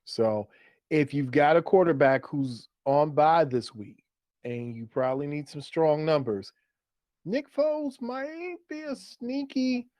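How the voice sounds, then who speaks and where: A man's voice: on the high side, big pitch swings, slow pace, a little mellow, no echo, clean studio sound. A man co-hosting a sports show, talking casually and giving his opinions in a conversational way. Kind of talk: casual fantasy football banter.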